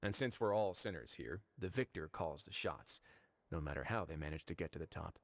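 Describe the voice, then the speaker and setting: An actor playing a calm, dryly courteous prison warden who holds the title of duke. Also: male voice, sits low, fairly quick, gravelly, full character voice. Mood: wry.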